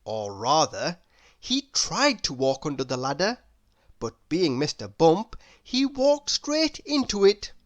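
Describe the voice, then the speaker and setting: A woman reading a children's story aloud expressively, addressing young listeners with dramatic pauses. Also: low-pitched, sing-song.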